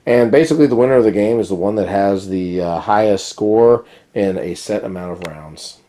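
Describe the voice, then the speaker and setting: Board game reviewer, male, low and warm, a touch raspy with a cold, casual and chatty. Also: normal speed. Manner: relaxed, chatty explaining with many fillers. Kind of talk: casual how-to-play review.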